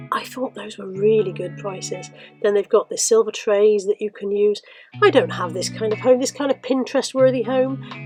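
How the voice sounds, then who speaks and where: A woman talks through a shopping haul in a chatty, self-mocking way, her voice a little croaky and sniffly from a cold. Her pitch sits in an ordinary middle range. Soft music plays faintly underneath. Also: poor audio. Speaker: vlogger.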